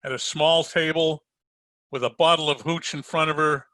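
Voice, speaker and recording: A man's voice: on the high side, steady tone, moderate pace, noisy background, natural voice. A man playing a wisecracking, sardonic private detective in an old-time radio drama, slangy and needling. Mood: wry, narrating. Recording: home recording over video call.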